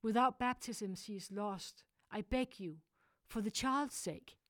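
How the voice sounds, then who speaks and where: A woman's voice: medium pitch, takes it slowly, fairly bright tone, dry recording, clean studio sound, voice pushed up. A woman reading as a pleading young mother. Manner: pleading, urgent.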